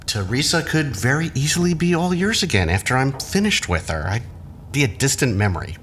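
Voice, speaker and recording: A man acts out a scheming, self-satisfied rival. His mid-range voice swings widely in pitch as he taunts with glib mockery. Faint ambience sits behind this audio drama. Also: big pitch swings, fairly quick, a bit bright, slight background noise. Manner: glib, mocking, swooping.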